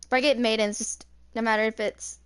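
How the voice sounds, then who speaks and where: A woman in her twenties with a somewhat high-pitched voice. She talks through her gameplay in a casual, unscripted way, as a streamer does. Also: level tone, brisk, fairly bright tone, smooth, no room sound. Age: 20s. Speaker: gaming streamer.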